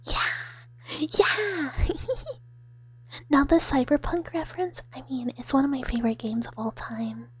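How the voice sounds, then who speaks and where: Soft breathy girl streamer doing asmr chat, kinda high voice, whispery, playful and rambly, jokey threats. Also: a little fast, hardly any pauses. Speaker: VTuber streamer playing a mouse character. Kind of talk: ASMR livestream chat with viewers.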